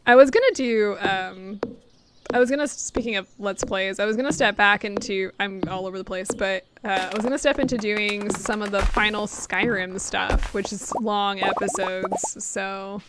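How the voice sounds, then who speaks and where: A young adult woman streaming a game, chatting casually with lots of fillers, her voice getting hoarse after hours of talking. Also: mid-range voice, steady tone, moderate pace, background noise. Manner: chatty, rambling, many fillers and laughs. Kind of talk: casual chat while gaming.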